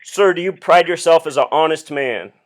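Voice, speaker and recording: A man with a somewhat high-pitched voice talks as a caller laying out his case. He is earnest and insistent, explaining point by point with deliberate phrasing and pointed questions. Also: lively intonation. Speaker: caller phoning the police with a theory. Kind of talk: earnest explanatory phone monologue.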